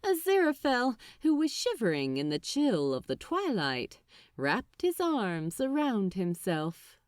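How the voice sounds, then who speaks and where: A woman narrates fiction in a theatrical storybook style. Her pitch swings dramatically and she phrases slowly and deliberately. The recording is very dry, with hardly any room sound. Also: wildly swooping pitch, no echo.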